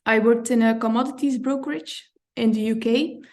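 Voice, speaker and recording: A woman from Belgium with a fairly high, gravelly voice, talking as a guest in a relaxed interview. She works through her answers thoughtfully, pausing, correcting herself and laughing lightly. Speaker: compliance director, guest. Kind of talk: conversational interview.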